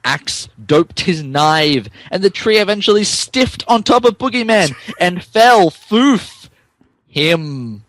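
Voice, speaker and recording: A man's voice: sits high, big pitch swings, slow pace, a bit bright, a little hiss or hum. A man narrating a mock-dramatic podcast reading of wrestling fan fiction, stressing garbled typos as written.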